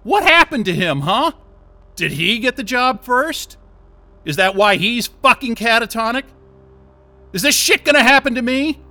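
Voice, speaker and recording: An actor playing an angry, sarcastic software developer held captive in an audio drama, agitated and defiant. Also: male voice, very high voice, expressive, measured pace, full character voice. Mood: angry, accusing.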